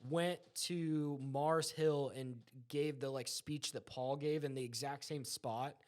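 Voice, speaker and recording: A man's voice: on the high side, fairly even intonation, slow pace, darkish tone. A man chatting casually, retelling anecdotes in an enthusiastic, rambling way.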